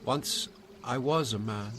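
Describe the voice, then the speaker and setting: A man's voice: medium pitch, takes it slowly, pitched above the performer's own voice, lightly acted. A man voicing the grave ghost of an ancient Roman poet, guiding with solemn, oratorical delivery in a story reading. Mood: solemn, proud. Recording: noisy, hissy background.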